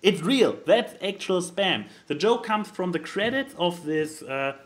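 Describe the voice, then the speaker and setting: A man's voice: sits high, very animated, a little slow. A man chatting casually as a podcast co-host, emphatic and given to repeating words. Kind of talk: casual chat.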